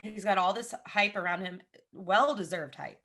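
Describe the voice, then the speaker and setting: A Southern American woman in her thirties talking as a guest on a podcast. Her voice is bright and forward. She delivers strong opinions in an animated way, piles on asides and repeats herself for emphasis. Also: medium pitch, normal speed, gravelly. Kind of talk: casual podcast chat.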